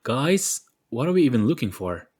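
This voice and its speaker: A man voicing an excitable, anxious young male adventurer who exclaims and questions in an audio story. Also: mid-range voice, moderate pace, slightly rough, pitched above the performer's own voice. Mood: puzzled.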